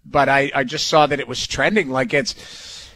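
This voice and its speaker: A man's voice: mid-range voice, steady tone, moderate pace, pushed and bright, dry recording. A middle-aged man co-hosting a sports talk radio show, congested and stuffy, with an easygoing, agreeable manner. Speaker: radio co-host.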